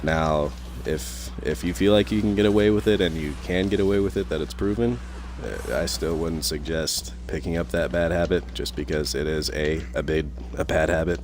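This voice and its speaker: A young man commentating his gameplay in a casual, rambling way full of fillers, his voice a little raspy from talking so long. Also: very deep voice, moderate pace, hardly any pauses, a little mellow, a little hiss or hum. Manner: rambling, many fillers and restarts.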